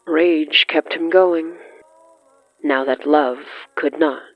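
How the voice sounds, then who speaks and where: A narrator reading scripted horror fiction in the third person, brooding and dramatic, with lingering pauses. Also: mid-range voice, fairly even intonation, dry recording. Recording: muffled lo-fi sound with music underneath. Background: music.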